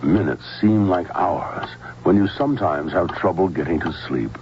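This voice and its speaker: A male ad announcer with an extremely deep, gravelly voice speaks deliberately and persuasively, with lively intonation. It is a 1970s radio spot on a muffled old recording. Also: background noise. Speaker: commercial announcer. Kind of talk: 1970s scripted radio ad read.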